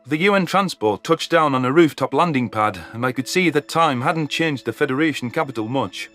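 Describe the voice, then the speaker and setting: A man's voice: mid-range voice, expressive, a little fast, fairly bright tone. A man reading scripted first-person science fiction narration as an audio story narrator. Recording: music under the voice.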